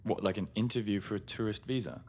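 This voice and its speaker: A man's voice: lowish voice, fairly even intonation, quick pace, noisy background, close to an everyday voice. A man playing a breezy, confident, carefree traveller in a naturalistic audio drama, speaking casually with easygoing bragging. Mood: surprised. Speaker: supporting actor in a fiction podcast.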